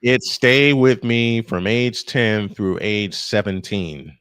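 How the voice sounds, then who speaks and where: A man's voice: fairly low pitch, slow, fairly bright tone, smooth, dry recording. An American man doing baseball play-by-play mixed with casual chat, telling things in a folksy, repetitive way.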